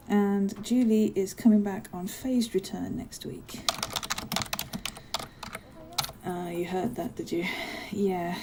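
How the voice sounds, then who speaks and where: A woman acts out a kindly giantess office worker in an ASMR roleplay. Her voice is very soft and dark, and she chats in an unhurried, caring way with small hesitations. There is a faint noise behind her. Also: mid-range voice, low-quality recording. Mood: caring, confiding. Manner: bubbly, caring, apologetic, hesitant with soft laughs. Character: friendly chatty giantess office worker greeting her tiny coworker. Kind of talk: ASMR roleplay, one-sided conversation.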